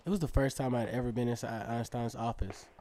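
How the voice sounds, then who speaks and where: A man's voice: mid-range voice, steady tone, fairly quick, dark tone, natural voice. An actor playing an earnest teenage acrobat who narrates his story in a reflective, conversational way in a radio drama. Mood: wry, reflective. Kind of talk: first-person narration in an Afrofuturist radio drama.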